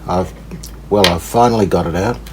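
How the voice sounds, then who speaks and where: A man giving casual hands-on repair commentary, unhurried and tentative, as if thinking aloud. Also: deep voice, noisy background. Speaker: host of a radio repair channel.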